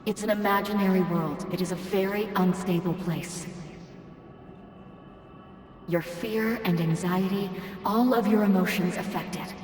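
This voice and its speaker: A woman's voice: mid-range voice, fairly even intonation, unhurried, pauses often, a little mellow. A woman playing a composed, caring female mentor in an English game dub, her voice in echoing reverb. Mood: composed, cautioning. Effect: echoing reverb on remote voice. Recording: long echoing reverb, faint ambient noise.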